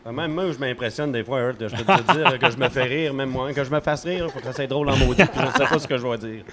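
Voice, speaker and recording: A man, a local performer, chatting quickly in a casual podcast and laughing at his own jokes. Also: medium pitch, a loud crowd. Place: pub.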